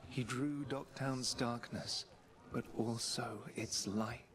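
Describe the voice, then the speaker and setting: A man voicing a gentle, mournful spirit of compassion in a video game, halting, hushed and poetic. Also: medium pitch, fairly even intonation, a crowd in the background, natural voice. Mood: tender, mournful.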